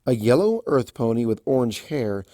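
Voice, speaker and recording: A man's voice: mid-range voice, very animated, moderate pace, warm tone, no echo, clean studio sound. A man giving a scripted narration of a story as a fan fiction reader.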